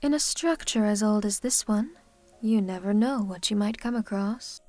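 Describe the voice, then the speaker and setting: A woman playing a gracious, commanding, centuries-old vampire hostess in an ASMR roleplay, speaking formally with gentle teasing. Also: mid-range voice, moderate pace, no room sound, faint music behind, lightly acted. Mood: reassuring, poised.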